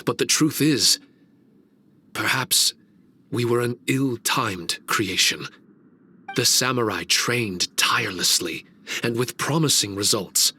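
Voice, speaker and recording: An actor playing a solemn, wistful male shikigami servant in a game cutscene, speaking in a slow, measured, reflective way. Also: medium pitch, pushed and bright, a little hiss or hum, exaggerated voice.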